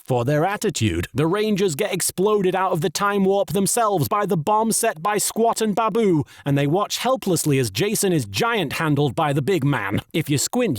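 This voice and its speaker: A man's voice: fairly high pitch, dry recording, clean studio sound. A man narrating a scripted comedic episode review as host, with a wry, sarcastic manner.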